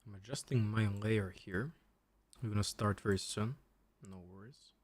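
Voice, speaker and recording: A man's voice: fairly low pitch, fairly even intonation, moderate pace, a little mellow, no room sound. A man in his twenties chatting casually and commentating as a chess streamer, often repeating short phrases. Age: 20s. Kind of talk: casual livestream chat and game commentary.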